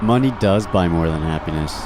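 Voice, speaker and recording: A man's voice: low voice, expressive, a little fast. An actor playing a wry man defending his neighborhood in a radio drama, given to quips. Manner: wry, quipping. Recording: loud urban ambience noise.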